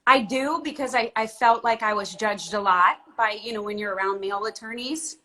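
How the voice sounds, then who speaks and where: A woman from Florida answering interview questions in a measured, hesitant way with many pauses. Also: fairly high pitch, sharp tone, rough recording. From Florida, United States. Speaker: guest.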